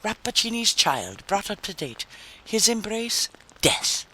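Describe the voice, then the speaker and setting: A man's voice: high-pitched, very animated, measured pace, sharp tone, a little hiss or hum, voice pushed up, full character voice, low-quality recording. A man voicing a cynical male wasteland drifter in a story reading, with a blunt, theatrical, snarling manner. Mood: bitter showmanship.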